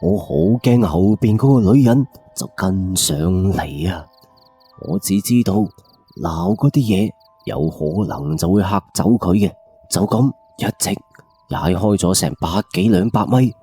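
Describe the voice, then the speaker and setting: A man's voice: low voice, animated, unhurried, dark tone, slightly rough, dry recording, soft background music. A man with a Cantonese accent narrating a first-person ghost story in a colloquial, suspenseful late-night radio style.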